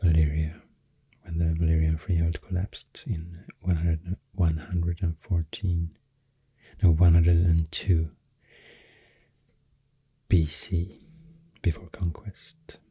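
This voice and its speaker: Casting: man, Swedish accent, very dark low voice, soft and breathy, slow halting ASMR ramble, close-miked. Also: flat delivery, dry recording. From Sweden. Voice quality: deep, soft, breathy, half-whispered. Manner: hesitant, slow, halting, self-correcting. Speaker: ASMR artist. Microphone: close microphone.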